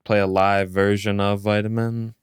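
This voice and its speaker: A man co-hosting a podcast, chiming in with playful, drawn-out joking remarks. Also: fairly low pitch, flat delivery, smooth, no echo, clean studio sound. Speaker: podcast co-host. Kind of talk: casual interview chat.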